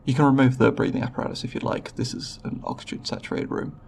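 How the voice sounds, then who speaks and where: Man acting creaky, formal, nervous alien researcher. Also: medium pitch, fast talker, darkish tone, a little hiss or hum, close to an everyday voice. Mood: anxious, placating. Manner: clinical, precise, stilted formal wording with hesitations. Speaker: voice actor in an audio roleplay. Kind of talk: ASMR roleplay, recorded report then reassurance.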